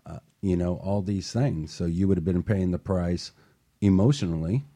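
A man's voice: deep voice, moderate pace, warm tone. A man hosting a motivational talk show and coaching, talking earnestly and building to passionate emphasis.

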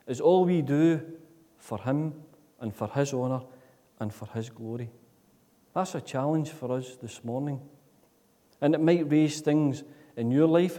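A man preaching a sermon in a church, earnest, with repeated rhetorical questions that swing between loud and soft. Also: medium pitch. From Scotland. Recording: long reverb of a church hall.

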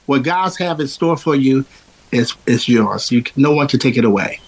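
A man's voice: mid-range voice, lively intonation, moderate pace, breathy edge. A man from Philadelphia, a singer who says he has a powerful voice, talking through an interview in a loose, rambling way with lots of fillers. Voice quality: strong, powerful voice. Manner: rambling, with many fillers and restarts. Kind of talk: casual interview chat.

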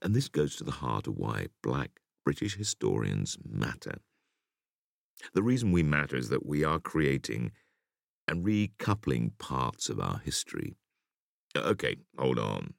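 A man reading an interview essay for an audiobook in a measured, emphatic way with deliberate pauses. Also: rumbling low, very animated, vocal fry, dry recording, clean studio sound. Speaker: audiobook reader.

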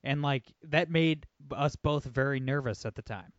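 A man hosting a podcast, talking politics casually in a quick, emphatic way full of "like" and "you know". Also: medium pitch, no echo. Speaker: podcast host. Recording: dull lo-fi, dry sound.

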